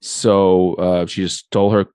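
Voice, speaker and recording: A man's voice: low-pitched, little pitch movement, measured pace, warm tone, clean tone, no echo. A man co-hosting a podcast in casual chat, loose and joking with plenty of fillers. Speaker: podcast co-host.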